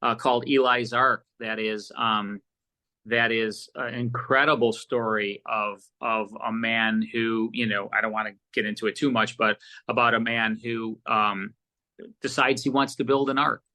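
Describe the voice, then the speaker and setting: A man's voice: medium pitch, little pitch movement, measured pace, bright, forceful tone. An American man chatting casually as an interview guest, enthusiastic and often repeating his words as he goes. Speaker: podcast guest, audio producer.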